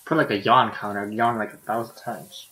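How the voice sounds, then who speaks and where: A man's voice: on the low side, steady tone, fairly quick, bright, forceful tone, rough recording. A tired male streamer reading a visual novel aloud in a laid-back way, with casual asides. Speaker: live streamer.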